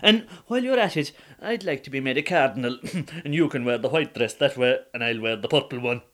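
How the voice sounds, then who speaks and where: A man's voice: medium pitch, lively intonation, a little fast, fairly bright tone, close to an everyday voice. A man with an Irish accent voicing an ambitious peasant wife who coaxes and wheedles her husband. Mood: scheming. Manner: coaxing, wheedling. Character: scheming ambitious peasant wife, middle-aged woman.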